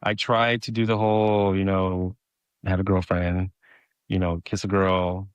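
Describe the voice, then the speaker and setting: Low-voiced hesitant man telling his story. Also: little pitch movement, unhurried, dry recording. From Mexico. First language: Spanish. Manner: hesitant, repeats words and restarts often. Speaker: podcast guest. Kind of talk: personal storytelling in conversation.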